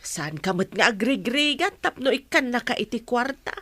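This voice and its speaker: A woman's voice: a little high, expressive, normal speed, pushed and bright, dry recording. A woman with an Ilocano accent playing a caring, protective older rural mother in a radio drama. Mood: defensive.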